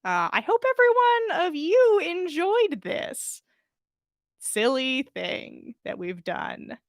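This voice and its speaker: A woman hosting a podcast, speaking in an expressive, casual storytelling style. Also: extremely high pitch, slow, dry recording, clean studio sound. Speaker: podcast host reading fan fiction. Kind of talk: expressive story reading and casual livestream chat.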